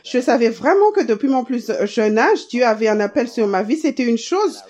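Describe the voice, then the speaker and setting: Woman doing a calm dub voice-over, slightly high voice, even and steady. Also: normal speed. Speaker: voice-over translator. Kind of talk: read French voice-over of a testimony video.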